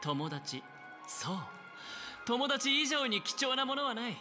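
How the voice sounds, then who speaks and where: A male actor playing a smooth, self-assured, sly young gambler in a Japanese video game, with theatrical, deliberate phrasing. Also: extremely high pitch, very animated, music in the background, full character voice.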